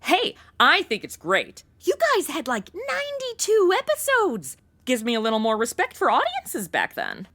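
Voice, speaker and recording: Young, sassy modern gal, played by a woman, high voice, huge pitch swings, casual and irreverent comic delivery. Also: huge pitch swings, moderate pace, fairly bright tone, full character voice. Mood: excited. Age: young adult. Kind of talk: comedic audio drama, modern casual speech.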